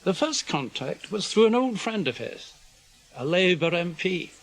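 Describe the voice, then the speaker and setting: An actor playing an older British intelligence officer in a radio drama, guarded and weary, speaking in measured, clipped phrases. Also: male voice, high voice, very animated, exaggerated voice. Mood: measured recounting. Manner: measured, clipped, explanatory delivery in short phrases. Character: senior male British intelligence officer, guarded, weary, conscience-burdened spymaster.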